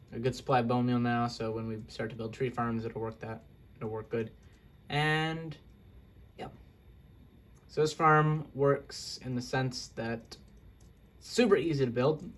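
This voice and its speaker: A young man casually walking through a game build, rambling and correcting himself with self-deprecating asides. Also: medium pitch. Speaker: Minecraft video creator. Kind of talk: casual gameplay walkthrough.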